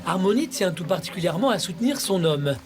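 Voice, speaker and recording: A man narrating a reality show in a scripted, smooth, dramatic way. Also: sits high, sing-song, fast.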